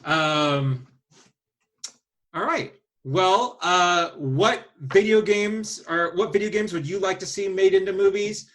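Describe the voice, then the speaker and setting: A man's voice: sits high, takes it slowly, a bit bright. A man hosting a podcast in casual group conversation. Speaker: podcast host.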